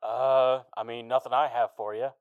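A hesitant greenskin fumbles through a call, heard over a tinny, dry phone line, voiced by a man. The voice is gravelly yet smooth and keeps stalling between words. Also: medium pitch, flat delivery, moderate pace, no room sound. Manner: hesitant, full of "uh"s.

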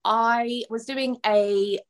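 A woman, a debut novelist, talking casually in an interview, chatty and quick to laugh, with a few fillers. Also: on the high side, fairly even intonation. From England.

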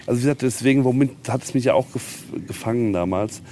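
A man's voice: medium pitch, quick pace. A man talking at length in an informal on-site interview, enthusiastic and rambling as he explains. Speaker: castle tenant and event organizer, interviewee. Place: outdoors at a castle ruin. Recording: outdoor field recording with faint background noise.

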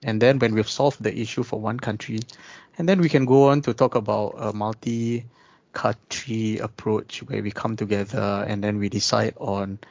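A man talking through ideas in a casual discussion, measured and pausing often with ums. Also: fairly low pitch, creaky, a little mellow, no echo. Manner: measured, thinking aloud with many ums. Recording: dull dry recording.

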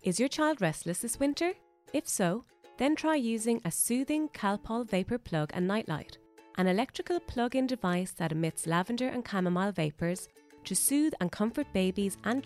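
A woman's voice: medium pitch, darkish tone. Woman reading a podcast ad. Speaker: podcast co-host. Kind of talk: scripted intro and ad read.

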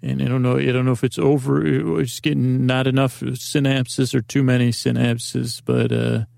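A man's voice: mid-range voice, little pitch movement, moderate pace, gravelly, darkish tone, no room sound, clean studio sound. A man hosting a podcast, rambling through a comic monologue full of restarts and self-interruptions, acting out imagined exchanges. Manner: acts out imagined dialogues. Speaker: podcast host.

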